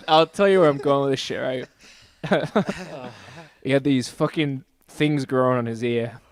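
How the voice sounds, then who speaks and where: A man with a gravelly voice chatting casually as a podcast guest, swearing often and laughing through his stories. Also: medium pitch, measured pace. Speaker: podcast guest, metal singer. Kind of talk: casual chat.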